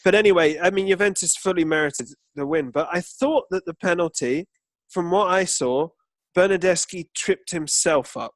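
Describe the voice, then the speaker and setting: A man's voice: high voice, moderate pace, dry recording. A man co-hosting a football podcast, chatting casually with emphatic, opinionated and sweary banter.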